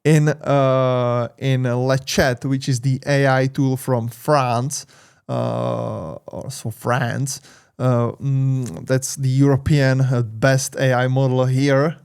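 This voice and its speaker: A young man with a Czech accent gives casual tech news commentary, pausing often on fillers and restarting his sentences. His voice has a gravelly fry, but it stays smooth and resonant, and his pitch sits in a middling range. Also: flat delivery, a little mellow. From Czech Republic. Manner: hesitant, many fillers and restarts.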